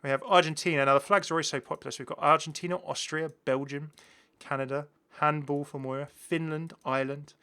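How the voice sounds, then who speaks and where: A man with a bright, forward voice presents a casual gaming showcase. He is chatty and enthusiastic, reacting out loud and exclaiming as he goes, in the easy style of an online channel host. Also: fairly high pitch, smooth. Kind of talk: casual gameplay showcase commentary.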